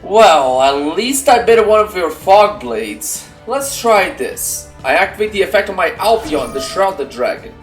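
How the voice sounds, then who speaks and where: A man's voice: sits high, very animated, measured pace, hardly any pauses, soft background music. A man playing an earnest, casual young hero duelist in an anime-style card battle roleplay, talking through his moves. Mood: determined.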